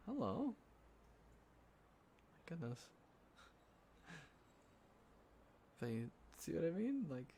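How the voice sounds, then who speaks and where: A man acting as a sentimental young guy catching up with a close friend, talking in a casual, halting, soft-hearted ramble for an intimate roleplay audio. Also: mid-range voice. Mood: delighted. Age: young adult. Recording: clear background ambience noise. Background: quiet city ambience.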